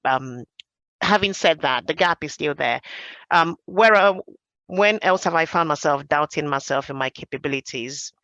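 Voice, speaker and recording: A middle-aged Nigerian-accented woman talks in a personal, conversational way on a panel. Her voice has a bright, forceful edge and an emphatic, reflective manner. The sound is dry and close, though a little dull. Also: low voice, dry recording. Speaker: podcast panellist, major projects professional.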